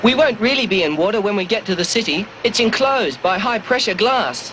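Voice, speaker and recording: Very high-voiced playful cartoon guide. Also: male voice, expressive, brisk, a bit bright, a little hiss or hum, poor audio. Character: playful guide announcing like a lift operator. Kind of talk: 1970s cartoon voice acting. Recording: music behind the voice.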